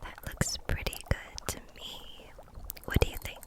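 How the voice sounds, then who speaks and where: A woman whispers softly and breathily throughout, in the style of an ASMR roleplay. She plays a gentle kitchen witch, talking tenderly and without hurry straight to the listener. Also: unhurried.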